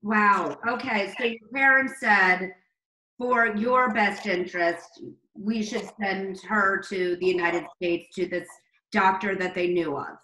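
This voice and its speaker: A woman's voice: steady tone, slow, bright, forceful tone. A woman hosting a talk show, interviewing a guest with effusive exclamations. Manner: effusive, exclaims with big pitch leaps. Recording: echoey room.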